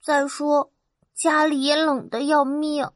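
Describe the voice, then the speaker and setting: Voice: sits high, little pitch movement, takes it slowly, no room sound, studio quality. A performer voicing a poor, cold, hungry little match-selling girl in a children's storybook, pleading and exclaiming. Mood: sad.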